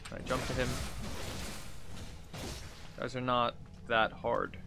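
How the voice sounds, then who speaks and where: A man's voice: mid-range voice, fairly even intonation, takes it slowly, gravelly. A man in his thirties giving casual, rambling let's play commentary, thinking aloud as he plays. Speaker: gaming video host. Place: indoors at a computer desk.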